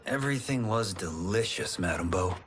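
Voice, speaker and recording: Modest young martial artist, low-pitched. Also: male voice, animated, moderate pace, background noise. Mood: good-natured, careful. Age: young adult. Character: modest, good-natured, careful young farmer and martial artist. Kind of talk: video game cutscene acting. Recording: voice under loud music.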